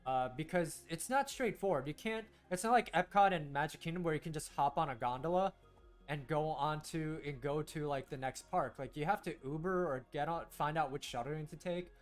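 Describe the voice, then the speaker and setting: A man's voice: on the high side, a little fast, soft background music. A young man whose first language was Korean, raised in the United States, chatting casually with viewers while streaming a game, rambling and restarting his sentences. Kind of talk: casual gameplay stream chat, talking to chat.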